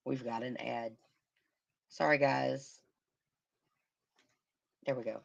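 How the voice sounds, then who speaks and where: A woman hosting a casual livestream, commenting on a crime case in a hoarse voice as she loses it and humming to herself. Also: very deep voice, fairly even intonation, slow, lo-fi sound. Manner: murmuring, sympathetic, hums to herself. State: hoarse, losing her voice. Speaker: livestream host.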